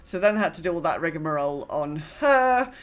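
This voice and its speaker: A woman's voice: lowish voice, animated, fairly quick. A woman podcast host chatting casually about gaming, telling stories in a rambling, self-correcting way.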